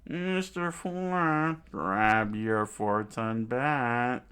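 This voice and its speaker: A man's voice: mid-range voice, extremely slow, a bit bright, clear, resonant tone, pitched above the performer's own voice. A man voicing a cruel, smug older female villain who drags her words out with a mocking sneer. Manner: sneering, drawn out, mocking laughter.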